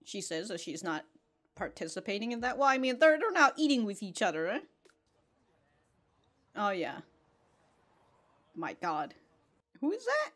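A woman's voice: medium pitch, wildly swooping pitch, measured pace, long pauses, a bit bright. A young woman hosting an anime reaction, chatting casually and bluntly, laughing often and swearing now and then, close to a studio mic in a small room. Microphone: studio mic on stand close to the face. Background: faint anime music and audio.

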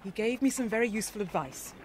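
Reproving widow, actress, radio drama: mid-pitched, curt, dignified, light ambience behind. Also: female voice, animated, quick pace, slight background noise, close to an everyday voice, low-quality recording. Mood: reproving, weary. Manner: curt, formal. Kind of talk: British radio drama acting.

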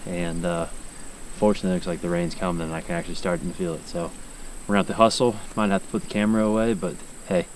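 A man's voice: low voice, steady tone, quick pace, a little hiss or hum, rough recording. A young American man chatting casually to camera as the host of an outdoor vlog. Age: young adult. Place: outdoors in fields and woods.

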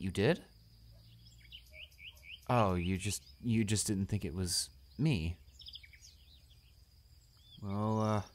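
A young man acting a shy, anxious, bullied high school student in an audio roleplay, stammering and hesitant. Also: close to an everyday voice. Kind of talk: romantic audio roleplay, one-sided dialogue.

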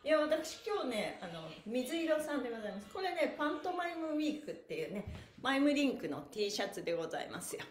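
High-voiced, animated woman leading stretches. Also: fast, slight background noise. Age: middle-aged. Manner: cheerful, exuberant, laughing often; playfully polite, adding honorifics to body parts. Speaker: stretching instructor and pantomime performer hosting a morning livestream. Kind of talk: upbeat exercise instruction with chanted counting phrases. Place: small indoor room, seated on a mat by a bare wall. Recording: echoey small bare room.

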